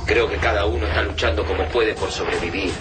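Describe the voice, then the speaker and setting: A man's voice: lowish voice, fairly even intonation, fast talker, very noisy, natural voice. An Argentine actor playing a resolute, analytical man taking charge of survival in a radio drama. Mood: grim, reasoning.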